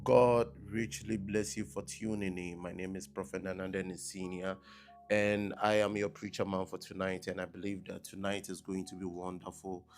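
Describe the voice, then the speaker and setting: A man preaching on a live stream who repeats his blessings and keeps asking viewers whether he can be heard and seen clearly. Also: deep voice, flat delivery, moderate pace, faint music behind. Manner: repetitive, checking with online viewers. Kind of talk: live-streamed sermon opening. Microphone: laptop mic on a live stream.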